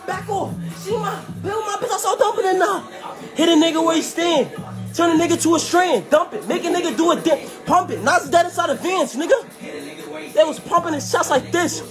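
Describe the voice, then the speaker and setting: A young man doing a squeaky helium voice as an aggressive, boastful drill rapper. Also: normal speed, music in the background. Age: young adult. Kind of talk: drill rapping.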